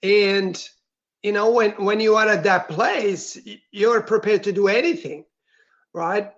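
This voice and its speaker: A man from Switzerland, a guest on an interview, telling his personal story in an earnest, reflective and soft-spoken way. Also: high voice, unhurried. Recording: dull, lo-fi sound.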